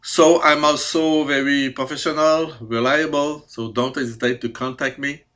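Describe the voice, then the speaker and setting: A man in his fifties from Quebec, a native French speaker with a Quebec French accent, presenting his skills with careful, hesitant speech and many pauses. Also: mid-range voice, level tone, a bit bright. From Quebec, Canada. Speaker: CAD programmer. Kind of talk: self-presentation video.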